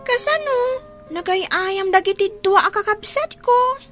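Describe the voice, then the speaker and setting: Innocent small girl, extremely high voice, earnest daughter. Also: expressive, a little slow, fairly bright tone, soft background music, full character voice. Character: young daughter, innocent child defending her mother. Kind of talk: Ilocano radio drama acting.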